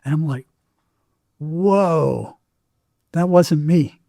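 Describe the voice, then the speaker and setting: A man talks as a podcast guest in a very dark, mellow voice that sits a little higher than average. The sound is close and dry, and he explains in anecdotes, leaning on repeated phrases for emphasis. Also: very slow, gravelly, dry recording. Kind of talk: anecdotal interview talk.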